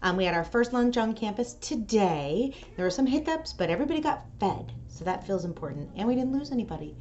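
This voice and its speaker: A woman's voice: mid-range voice, lively intonation, fairly quick, a little mellow. Woman presenter, slightly dull noisy recording. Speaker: school staff presenter. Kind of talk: video announcements and picture-book read-aloud. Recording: dull audio with faint noise.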